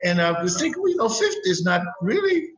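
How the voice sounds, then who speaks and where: A man's voice: high voice, very animated, fast talker, dull audio, noisy background. A man in his seventies on a video call, telling his story animatedly with repetitions and exclamations. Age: 70s. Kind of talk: casual group video chat. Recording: echoey room.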